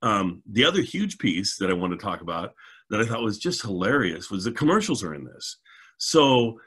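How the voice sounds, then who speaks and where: Husky, low-voiced middle-aged American man. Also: sing-song, normal speed, fairly bright tone. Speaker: show host. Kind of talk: casual video-call discussion. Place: home, indoors, video call.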